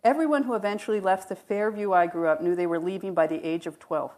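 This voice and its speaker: A woman's voice: on the low side, fast talker, darkish tone. A woman from Canada hosting an interview, chatty and asking long, rambling follow-up questions. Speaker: podcast host. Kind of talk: casual interview chat.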